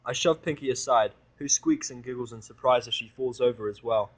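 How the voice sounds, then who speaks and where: A man's voice: medium pitch, fairly quick, low-quality recording. A man reading a first-person story aloud as dramatic narration for a fan audio drama.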